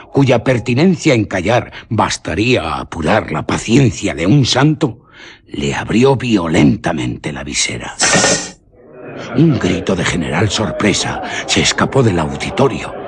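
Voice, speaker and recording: Low-voiced man narrating suspense. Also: background noise. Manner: solemn, deliberate storytelling. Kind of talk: dramatic scripted story narration for suspense radio.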